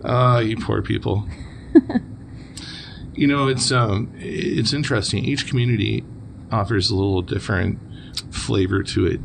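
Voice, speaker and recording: A man's voice: lowish voice, measured pace, vocal fry, pauses often, background noise. A man from Wisconsin hosting a casual interview podcast, easygoing and chuckling as he asks follow-up questions. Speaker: podcast host.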